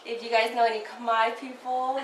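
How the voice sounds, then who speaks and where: Relaxed woman from Cambodia, echoey room. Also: on the high side, normal speed, recorded from a distance, very noisy. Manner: relaxed, frequent "um". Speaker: social worker. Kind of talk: casual self-introduction.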